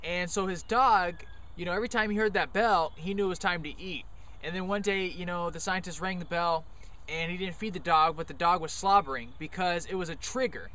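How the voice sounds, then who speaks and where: A man's voice: high voice, fairly quick, pushed and bright. A young man giving a casual golf lesson on the course, loose and joking, with many fillers. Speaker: golf coach.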